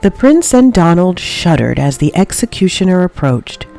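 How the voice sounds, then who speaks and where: A woman reading a children's story aloud as an expressive storybook narrator. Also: on the low side, moderate pace, vocal fry, soft background music. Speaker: storybook reader.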